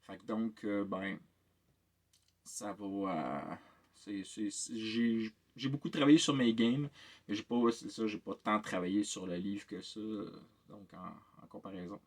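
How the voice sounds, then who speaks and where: A man's voice: lowish voice, measured pace. Hesitant Québécois guy, tired. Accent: Québécois French. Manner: hesitant and rambling, many filler sounds and repeated words. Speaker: tabletop RPG game master and YouTuber. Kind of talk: casual solo vlog update.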